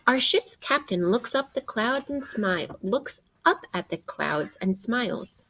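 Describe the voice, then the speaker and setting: Female teacher, interactive read-aloud for young kids, unhurried pace, mid-range pitch, deliberate delivery with direct questions. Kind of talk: interactive children's read-aloud of a science book.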